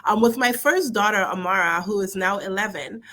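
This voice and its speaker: A woman's voice: mid-range voice, moderate pace. A woman from Nigeria, a pediatrician talking animatedly as a guest on a casual live interview over a video call. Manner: animated, laughing, expressive storyteller.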